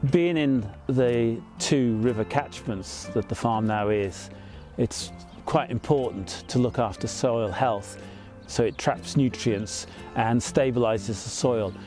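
A man in his fifties, a farmer, explaining his farm practices in a measured, plain way, outdoors in an open field. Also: fairly low pitch, mellow. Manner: measured, plain explanatory talk with small fillers.

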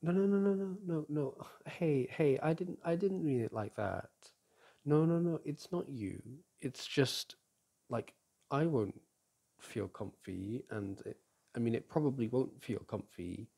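A young man speaks close to the mic in a soft, teasing boyfriend roleplay. His voice is gravelly, husky and warm, and he talks in an unhurried drawl. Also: mid-range voice. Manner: soft and teasing, with frequent sighs and chuckles. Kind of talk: intimate ASMR roleplay, speaking to an unseen partner. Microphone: close microphone.

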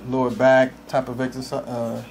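A man working as a chiropractor, giving calm hands-on instructions with short repeated reassurances in a small treatment room. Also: mid-range voice, moderate pace, gravelly, a little hiss or hum.

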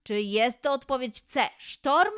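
A woman's voice: sits high, lively intonation, slow pace, fairly bright tone. A woman presenting a scripted quiz for children as host, announcing and addressing the young listeners directly. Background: music.

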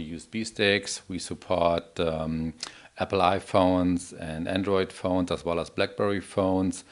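A middle-aged man giving a live product demo in a halting, explanatory manner. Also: sits low. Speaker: product demonstrator.

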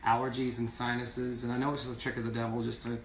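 A hoarse man in his thirties chatting casually on a live stream from a small room, rambling and reading viewer comments aloud. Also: mid-range voice, flat delivery, fast, slight background noise. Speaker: singing duo member hosting a live stream. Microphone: phone camera at a distance. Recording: echoey room, from a distance.

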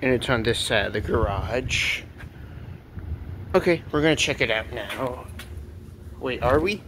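A man filming his own elevator rides and chatting casually behind a handheld camera, offhand and excitable, swearing now and then. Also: medium pitch, unhurried. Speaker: elevator fan vlogger. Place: parking garage elevators and decks, indoors and out. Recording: echoey with loud background noise.

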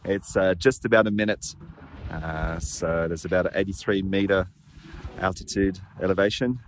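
A man's voice: low-pitched, fairly even intonation, normal speed, slight background noise. Chatty man vlogging outdoors at a ski resort. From half French, half Australian. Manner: chatty, opinionated, frank. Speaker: ski travel agency founder, vlogger. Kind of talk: casual vlog walkthrough. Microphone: handheld phone at arm's length, selfie.

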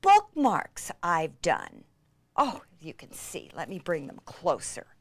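Excitable, gushing woman demonstrating crafts. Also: fairly low pitch, slow pace. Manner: excitable and gushing, with breathy emphatic drops to near-whisper.

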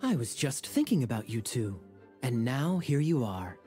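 A man's voice: medium pitch, sing-song, normal speed, warm tone, faint music behind, close to an everyday voice. A man voicing a suave, wry young knight captain in a video game.

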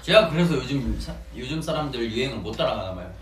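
A man's voice: medium pitch, expressive, fast talker. Easygoing young man answering fan comments. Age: young adult. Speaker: idol group member hosting a livestream. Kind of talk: casual chat while eating. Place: small indoor office room, seated at a table. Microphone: fixed camera across the table. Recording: roomy, distant mic with faint hiss.

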